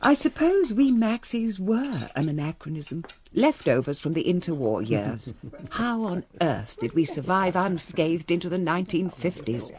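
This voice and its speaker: Low-voiced genteel English lady narrator. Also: sing-song, a little mellow, slight background noise. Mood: wry, nostalgic. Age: middle-aged or older. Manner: refined, wry, measured. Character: genteel older lady of a declining manor, reflective. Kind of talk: radio drama narration, reminiscing.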